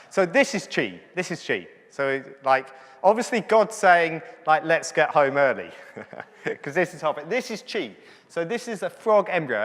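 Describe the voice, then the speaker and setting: A man's voice: fairly high pitch, fairly bright tone. A man, a doctor, giving a chatty, joking conference lecture to physiotherapists and checking in with the audience. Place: large conference hall. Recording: hall reverb from the venue.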